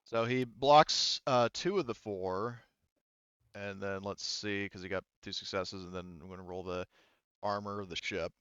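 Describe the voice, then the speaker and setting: A man's voice: on the low side, fairly even intonation, unhurried, a bit bright, clear, resonant tone, no echo, highs cut off. A man acting as game master, casually thinking aloud as he narrates dice rolls and rulings.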